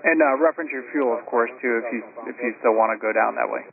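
A man's voice: mid-range voice, quick pace, gravelly, crowd noise. A man working as an air traffic controller, talking informally and accommodatingly to pilots over aviation radio. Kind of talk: radio communication. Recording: narrow tinny aviation radio transmission.

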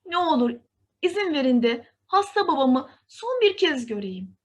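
Woman acting as young storybook heroine, high voice, gentle, emotional, earnest, very expressive pitch. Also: normal speed. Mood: pleading.

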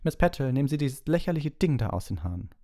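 Man doing a stern, high-pitched schoolmistress. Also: big pitch swings, quick pace, dark tone. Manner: strict, snappish. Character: stern, commanding female schoolteacher.

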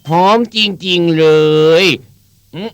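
An actor voicing an eager inventor in an educational children's cartoon, musing aloud with little hums. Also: male voice, on the high side, flat delivery, vocal fry, clean tone. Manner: slow musing with hums and chuckles.

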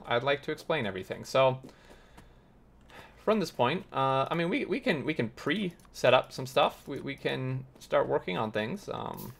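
A man hosting a gaming tutorial, slightly raspy as he loses his voice, explaining step by step while thinking aloud with many uhs. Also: medium pitch, a bit bright. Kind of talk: explaining gameplay while playing.

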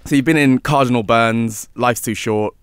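A man's voice: mid-range voice, moderate pace, smooth, dry recording. A young man from England hosting a casual radio interview in a small studio, easygoing and affirming. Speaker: radio host. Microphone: studio microphone.

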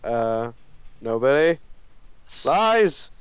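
A man's voice: mid-range voice, big pitch swings, extremely slow, smooth, dry recording, muffled recording. A man bantering casually as a co-commentator on a game, dropping playful, teasing interjections. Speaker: guest co-commentator.

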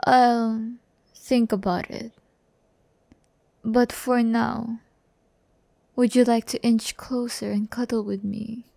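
Woman as teasing female demon, haughty yet tender, ASMR roleplay, creaky, unhurried, close dry sound. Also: a little high, flat delivery, dark tone, no echo. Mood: tender, soothing. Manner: slow, breathy, teasing, often near whisper.

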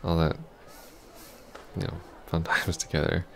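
A man playing a heartbroken, apologetic ex-boyfriend in an intimate ASMR roleplay, soft-spoken, halting and stammering. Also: low-pitched, flat delivery, vocal fry, a little hiss or hum. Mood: heartbroken, pleading.

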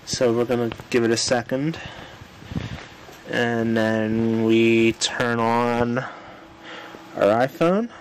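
A man casually demonstrating a home electronics project, unhurried and thinking aloud with fillers, filmed on a handheld camera in a room at home. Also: medium pitch, steady tone, a little hiss or hum, poor audio. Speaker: tutorial presenter. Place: home room with old radio.

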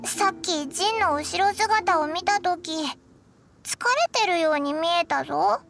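A woman's voice: unhurried, gravelly, over music, heavily acted. A woman voicing a small, caring fairy companion with childlike, blunt speech in a Japanese anime game. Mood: concerned. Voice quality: squeaky, childlike. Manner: blunt, childlike casual phrasing.

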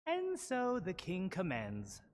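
Lilting, dutiful young royal attendant. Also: female voice, on the low side, huge pitch swings, slow, a little mellow, no room sound, exaggerated voice. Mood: formal. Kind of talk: animated fairy-tale drama.

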